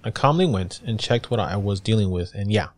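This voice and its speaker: A man's voice: lowish voice, animated, brisk, a little mellow, no echo. A man narrating a first-person story in a conversational style, as a guest reader.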